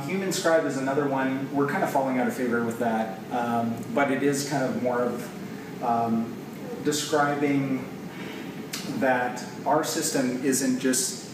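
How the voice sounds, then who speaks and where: A Canadian man in his thirties talks through ideas in a conversational, explanatory way, with a mid-pitched voice. He is recorded from a few metres off in a very echoey open office, with clear noise behind him. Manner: conversational, explanatory, thinking aloud. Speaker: software developer presenting a product. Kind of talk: informal whiteboard presentation. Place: large open office loft, indoors. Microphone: camera mic a few metres away. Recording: echoey open room, distant camera mic, noisy. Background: clear background room noise.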